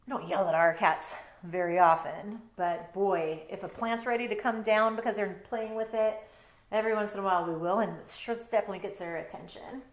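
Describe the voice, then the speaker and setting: A middle-aged woman reads a picture book aloud at an average pitch for a woman. She breaks off often for chatty, explanatory asides in a conversational way. The sound is roomy, with clear indoor echo around her voice. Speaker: storytime reader, teacher. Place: bedroom at home.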